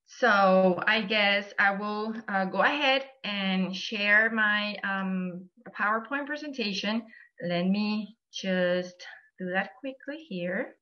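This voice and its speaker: A woman with a Venezuelan Spanish accent speaks at a mid pitch, friendly and often pausing on "um" as she walks through slides. Her voice comes through a video call and sounds a little muffled. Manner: friendly, many "um"s, explaining slide by slide. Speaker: guest speaker. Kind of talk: online presentation to a church group.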